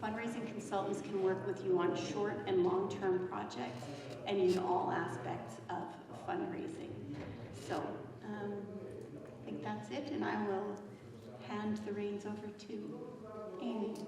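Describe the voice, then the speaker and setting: A woman's voice: mid-range voice, fairly even intonation, unhurried. Woman consultant talking at a conference, big echoey hall, mic sounds far off, crowd murmur behind. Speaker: fundraising consultant. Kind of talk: conference presentation.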